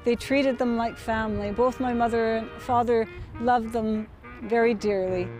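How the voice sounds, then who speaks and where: A woman explaining local history in a measured, informative way, with pauses, outdoors on a street. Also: fairly high pitch, steady tone, over music. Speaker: interviewee. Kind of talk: casual tour-guide explanation.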